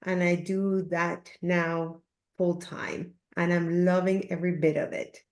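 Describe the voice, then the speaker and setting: A woman in her fifties from Peru with a Peruvian Spanish accent, speaking in a measured, earnest way as an interview guest. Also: lowish voice, flat delivery, a little mellow. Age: 50s. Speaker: podcast guest, leadership coach. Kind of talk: reflective interview talk. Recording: roomy, echoing sound.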